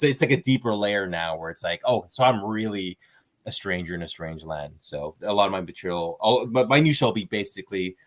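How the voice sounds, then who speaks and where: A man, a stand-up comedian, chatting casually as an interview guest, rambling with fillers and restarts and acting out quoted exchanges. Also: on the low side. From Canada.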